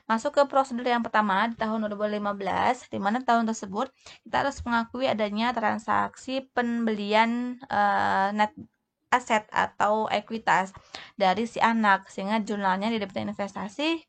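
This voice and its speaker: Woman instructor, creaky voice. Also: medium pitch. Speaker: accounting instructor. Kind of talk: explanatory tutorial, semi-spontaneous.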